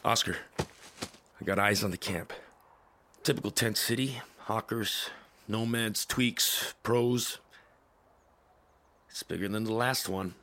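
A battle-worn cyborg soldier with a low, creaky voice. He is terse and determined, a tired veteran in a dystopian radio play. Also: slow, long pauses, pushed and bright, slight background noise, exaggerated voice. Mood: grim, watchful. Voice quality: gravelly, husky. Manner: terse, low-key military delivery. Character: weary, determined cybernetic veteran soldier wandering a wasteland. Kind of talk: audio drama acting.

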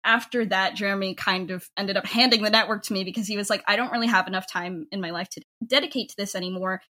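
A young adult woman talking casually and emphatically in an interview as a podcast creator, with an informal, animated way of speaking. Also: medium pitch, quick pace. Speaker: podcast network head and audio drama writer.

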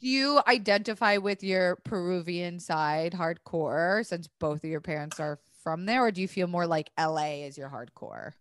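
A woman's voice: lowish voice, moderate pace, hardly any pauses, fairly bright tone, a little hiss or hum. A woman raised in Florida hosting a podcast, gushing, swearing freely and filling her talk with "like". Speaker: podcast host. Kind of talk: casual chat.

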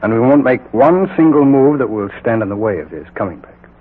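An actor playing a brisk, reassuring FBI agent in a 1940s radio drama, questioning in a businesslike way. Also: male voice, medium pitch, very animated, breathy edge. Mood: firm, reassuring. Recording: narrow-band 1940s radio recording.

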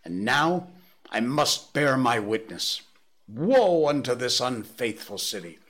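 A man voicing a frantic, half-mad curate who rants and wails about judgment, with a husky voice. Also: mid-range voice, measured pace, a bit bright, voice pushed up, full character voice. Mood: raving. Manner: ranting, wailing, pleading. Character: frantic male curate preaching doom.

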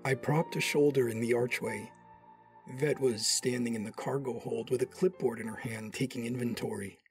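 Male Sith Lord acted by a man, smug and smitten, mid-pitched, first-person drama narration, faint music. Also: fairly even intonation, normal speed, close to an everyday voice. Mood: amused, intent.

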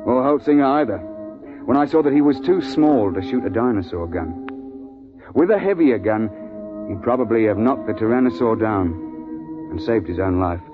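An actor playing a seasoned, regretful but firm hunting guide in a 1950s radio drama. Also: male voice, mid-range voice, animated, normal speed, close to an everyday voice. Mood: regretful, explaining. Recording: dull vintage 1950s radio recording with music.

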